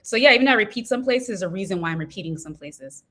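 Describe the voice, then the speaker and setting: A middle-aged woman from California, a guest in a casual interview, talking in a reflective, measured way. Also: medium pitch, expressive, sharp tone. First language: Igbo.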